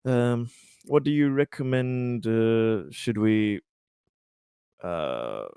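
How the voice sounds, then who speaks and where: A man playing a tabletop roleplaying game, talking in character with hesitant, measured and rather formal wording. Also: fairly low pitch, vocal fry, mellow, clean tone, no echo, clean studio sound.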